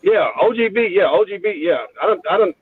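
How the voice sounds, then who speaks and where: A man in his forties with a fairly high voice chats casually about sports. He is animated and repeats himself, on dull lo-fi audio. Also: fairly quick, breathy edge, no room sound. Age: 40s. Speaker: panelist on college football fan talk show.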